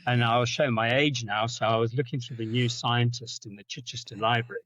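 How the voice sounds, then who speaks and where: A middle-aged man with a Zimbabwean-South African accent, telling stories as a podcast guest, often repeating words and jumping up in pitch for anecdotes. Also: fairly even intonation, brisk, fairly bright tone, no echo. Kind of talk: storytelling in conversation. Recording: dull, dry lo-fi sound.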